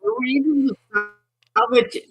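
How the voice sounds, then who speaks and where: A man's voice: very high-pitched, steady tone, measured pace, faint music behind. A man playing a tabletop roleplaying game, talking casually in a rambling, joking way.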